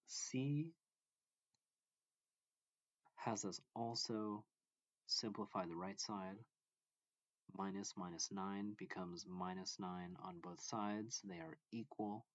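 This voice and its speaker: A man reading a math textbook aloud as a teacher, explaining in short, deliberate phrases. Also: sits low. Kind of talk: instructional read-along of a math textbook. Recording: dull lo-fi, echoey room.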